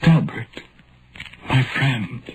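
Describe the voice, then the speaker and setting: A man's voice: medium pitch, expressive, very slow, slight background noise, rough recording. An actor playing a haunted, wrongly condemned man, narrating dramatically and poetically in 1940s radio horror style. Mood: troubled, bitter. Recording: vintage radio recording with loud music.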